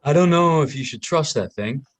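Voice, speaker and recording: A man's voice: fairly high pitch, lively intonation, moderate pace, lightly acted. A man in a screenplay table read, playing a frank, matter-of-fact coworker who supports an investigator. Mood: wary.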